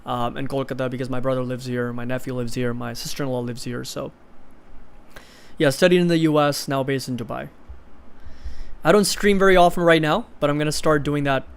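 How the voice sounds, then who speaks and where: A man's voice: mid-range voice, fairly even intonation, a little fast, creaky, clear, resonant tone. A man in his twenties from India, hosting a livestream and casually chatting as he answers viewers' comments. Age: 20s. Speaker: livestream host of an investing channel.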